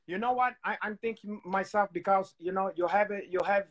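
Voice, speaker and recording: A man's voice: high-pitched, a little fast, a bit bright. A man in his thirties co-hosting a casual show over a video call, joking and teasing and rambling with many restarts. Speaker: co-host. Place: home room with candlelight.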